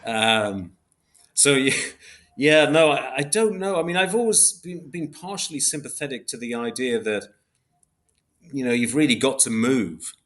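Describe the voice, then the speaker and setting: A man's voice: mid-range voice, expressive, a little slow, fairly bright tone. A man speaking as an academic interview guest, thinking aloud in a hesitant, self-correcting, reflective way. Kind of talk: thoughtful interview discussion.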